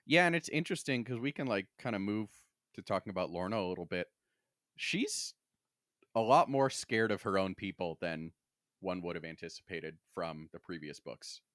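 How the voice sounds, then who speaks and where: A man's voice: deep voice, lively intonation, moderate pace, a bit bright, no echo, clean studio sound. A man co-hosting a podcast, talking casually about books and peppering his speech with "like". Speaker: podcast co-host. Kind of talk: casual book discussion chat.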